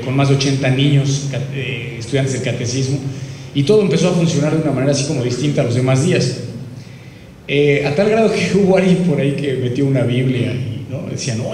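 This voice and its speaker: A man's voice: mid-range voice, darkish tone. A man in his thirties with a Mexican accent, talking informally at a press conference. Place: large indoor room. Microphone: handheld microphone. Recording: very echoey room, faint noise.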